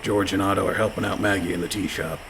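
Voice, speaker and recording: An actor playing a gruff, plain-spoken pirate crewman in a comic radio play. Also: low voice, fairly even intonation, fast, a bit bright, slight background noise. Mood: agreeable.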